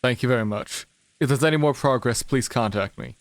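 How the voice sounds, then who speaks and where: A man's voice: medium pitch, fairly quick, no room sound, natural voice. Breezy, confident businessman, read by a man, dry close mic. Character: smooth, cocky middle-aged businessman. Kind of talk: fan livedub of a visual novel.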